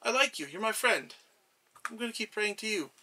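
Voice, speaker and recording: Loyal farmer spirit, voiced by a man, gentle, soft-spoken, steady, quietly stubborn. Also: high-pitched, brisk, slight background noise, pitched above the performer's own voice, full character voice. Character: humble loyal male farmer turned god, calm and gentle.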